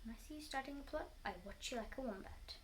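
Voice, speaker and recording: A woman's voice: high voice, quick pace, a little hiss or hum, natural voice. A woman voicing a cranky, suspicious old wife in a storybook, dramatic and exclamatory. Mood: watchful menace.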